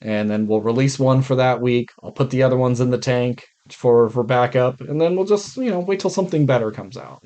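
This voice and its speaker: A man's voice: medium pitch, a little fast, few pauses, warm tone. A man co-hosting a podcast, chatting informally about movies and swearing now and then. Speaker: podcast co-host. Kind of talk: casual chat about movies.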